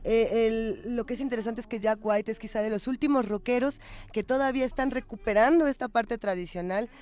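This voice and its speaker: A woman's voice: fairly high pitch, brisk, a little mellow. A woman with a Mexican accent co-hosting a magazine show, giving discursive, conversational commentary and often checking for agreement with tag questions. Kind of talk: conversational on-air music commentary.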